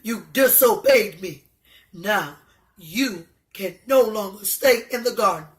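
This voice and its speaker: A woman voicing a stern, commanding God in a children's story, speaking emphatically and deliberately. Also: medium pitch, animated. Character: God, stern, commanding, displeased male authority. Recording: echoey room.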